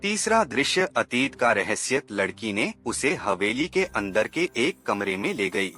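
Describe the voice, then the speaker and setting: A man's voice: mid-range voice, very animated, unhurried, sharp tone. A man narrating a scripted horror story in a dramatic, suspenseful manner.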